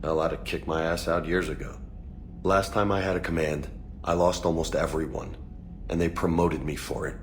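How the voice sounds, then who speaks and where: An actor playing a casual, easygoing but burdened soldier in a video game, with informal, slangy speech. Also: male voice, sits low, fairly even intonation, moderate pace, a little hiss or hum, natural voice. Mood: troubled, reflective. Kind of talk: conversational video game acting.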